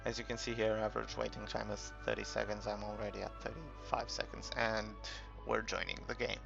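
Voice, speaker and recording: Man, lowish pitch, heavy vocal fry, casual gaming tutorial commentary, halting and rambling, slightly muffled home recording, background music. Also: flat delivery. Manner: halting, rambling, thinking aloud. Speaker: gaming video creator narrating a tutorial. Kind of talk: casual unscripted game commentary.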